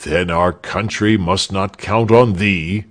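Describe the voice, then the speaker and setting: A man's voice: low voice, fairly even intonation, slow. A man voicing an earnest, slightly indignant male village spokesman recounting a visit.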